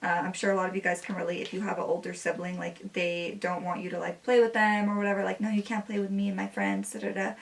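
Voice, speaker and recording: A relaxed young woman in her twenties, a native Spanish speaker, chats easily about her life while she does her makeup at home. She fills her sentences with "like", and her voice sits at an ordinary middle pitch. The camera mic sits a little away from her. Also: fast talker, vocal fry, few pauses, recorded from a distance, a little hiss or hum. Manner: chatty, relaxed, many "like" fillers. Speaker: beauty vlogger. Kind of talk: casual chat while doing makeup.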